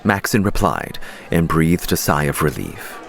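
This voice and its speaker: A man's voice: very deep voice, very animated, unhurried, vocal fry, a bit bright, a faint crowd. A man narrating a scripted story as an audiobook narrator.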